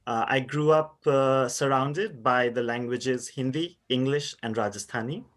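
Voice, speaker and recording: A man in his thirties from India, giving a conference presentation in a measured, explanatory way with frequent pauses and fillers. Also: medium pitch, a bit bright. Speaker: university lecturer presenting research.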